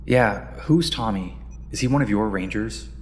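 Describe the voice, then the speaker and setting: A man's voice: fairly low pitch, normal speed, close to an everyday voice. An actor playing an uneasy, wry teenage boy recruit in an audio drama. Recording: reverberant, with faint background noise.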